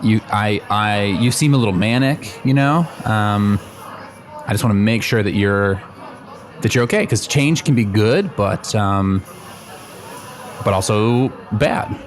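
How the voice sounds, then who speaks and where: A somewhat low-voiced male coworker played by an improviser, awkward and full of careful concern. He speaks haltingly, repeating and softening his words, in an audio comedy scene with slight ambient noise. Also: natural voice. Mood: concerned, tentative. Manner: halting, tentative, repetitive, diplomatic hedging.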